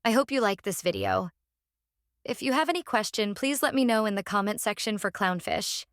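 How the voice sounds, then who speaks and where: A woman narrating a casual software tutorial, explaining step by step in a halting way and repeating phrases. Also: medium pitch, lively intonation, no echo, studio quality. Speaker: tutorial presenter.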